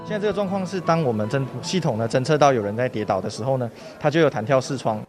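A man from Malaysia talks quickly at a medium pitch. He explains things in a conversational interview style, as a student being interviewed. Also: animated, over music. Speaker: student interviewee, former nurse.

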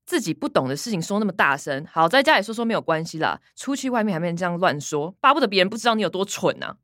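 An actress playing a curt, scornful young adult elder sister in a radio drama, with a Taiwanese accent. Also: female voice, on the low side, big pitch swings, rapid-fire, a bit bright, no room sound, studio quality. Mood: scornful, angry. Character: sharp-tongued adult elder sister, a bank professional.

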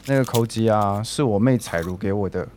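A young man with a Taiwanese accent acting a shy, earnest, halting student in an audio drama. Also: fairly low pitch, darkish tone, a little hiss or hum, lightly acted. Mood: shy longing. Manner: halting, awkward. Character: shy, awkward, earnest lovesick male student.

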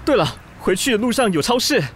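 Melodramatic, fussy city snob, wildly swooping. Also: male voice, very high-pitched, expressive, fast, a bit bright, a little hiss or hum. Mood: musing, smitten. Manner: melodramatic, sarcastic, swinging from muttering to shrieking. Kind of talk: radio drama acting with inner monologue.

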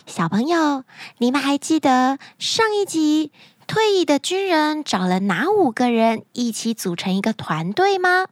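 A woman hosting a children's story show, speaking to young listeners in an animated, expressive storytelling manner. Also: high voice, a little slow, no room sound. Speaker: children's story podcast host.

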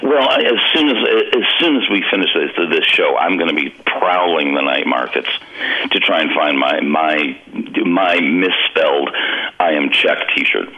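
A man's voice: rumbling low, lively intonation, unhurried, pushed and bright. A man calling in by telephone as a guest commentator, talking through political views and thinking aloud as he goes. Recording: dull lo-fi phone line.

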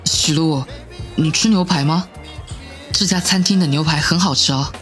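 Acted young man, shy, earnest, hesitant delivery, radio drama, soft music underneath. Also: a little high, moderate pace, lightly acted. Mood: gentle, tentative. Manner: hesitant, stammering. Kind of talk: modern Mandarin audio drama acting.

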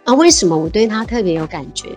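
A woman's voice: medium pitch, big pitch swings, fast talker, faint music behind. A woman in her fifties with a Taiwanese Mandarin accent, a radio interview guest who tells stories from her childhood and her adventures in an earnest, exclaiming way. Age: 50s. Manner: earnest, warm-hearted, often exclaiming. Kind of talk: reminiscing conversational storytelling.

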